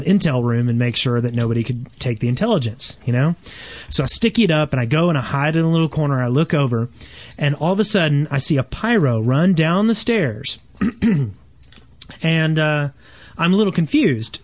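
A man co-hosting a gaming podcast, telling stories in casual, animated chat. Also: mid-range voice. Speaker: podcast co-host.

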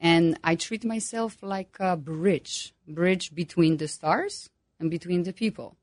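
Low-voiced Polish woman astrologer. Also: unhurried, a little mellow, no room sound, clean studio sound. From Poland. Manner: hesitant, many fillers. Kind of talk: interview guest.

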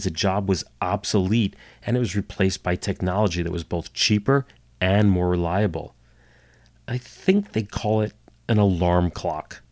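A man's voice: sits low, a little mellow, no echo. A man hosting a storytelling history podcast, slightly nasal from a cold, with dry wry asides. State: getting over a cold. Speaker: podcast host. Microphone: home computer setup.

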